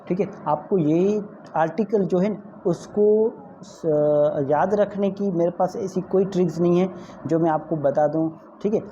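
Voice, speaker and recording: A man's voice: a little high, mellow. A man with a Pakistani accent giving an informal law lecture, repeating points and often checking that students follow. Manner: repetitive explaining with frequent comprehension checks. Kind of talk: informal exam-prep lecture mixing in English legal terms. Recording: muffled lo-fi audio with faint background noise.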